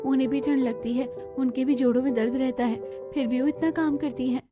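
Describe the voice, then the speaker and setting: A high-pitched young daughter-in-law, played by a woman from a cartoon story, speaking over clear background music. She is gentle and dutiful, with a weary, plaintive politeness. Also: steady tone, a little fast, lightly acted. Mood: gentle pleading. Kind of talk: Hindi cartoon story dialogue.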